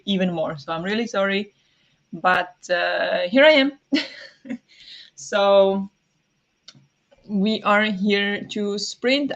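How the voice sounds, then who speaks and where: A woman hosting a relaxed livestream from home, talking to her viewers in a loose, chatty way full of fillers and small laughs. Her voice sits in a middle pitch and now and then turns a little raspy. The stream audio is slightly dull and lo-fi. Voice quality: sometimes raspy, habitually clears throat. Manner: chatty, apologetic, greets chat viewers by name, frequent fillers and soft laughs. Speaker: livestream host. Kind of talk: casual livestream chat.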